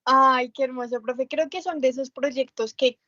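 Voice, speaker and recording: A woman's voice: sits high, steady tone, normal speed, sharp tone, dry recording, dull audio. A woman interviewing a teacher, asking questions with effusive praise.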